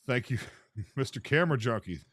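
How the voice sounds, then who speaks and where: A man's voice: medium pitch, expressive, normal speed, a bit bright, slightly rough, dry recording, studio quality. A man hosting a casual interview show, talking in a laid-back way full of fillers. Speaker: show host.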